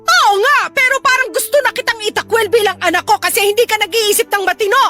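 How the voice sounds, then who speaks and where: A woman's voice: very high-pitched, fast talker. A woman playing a harsh, hard-drinking, scolding mother in a Filipino radio drama, blunt and confrontational. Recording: music behind the voice.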